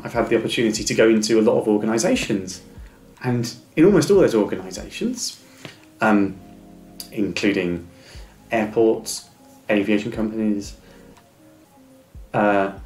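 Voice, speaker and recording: A man's voice: on the low side, darkish tone. A middle-aged man who stammers, giving earnest, encouraging advice in a reflective interview. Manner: stammers with repeated words and restarts. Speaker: interview guest. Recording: echoey room with faint music.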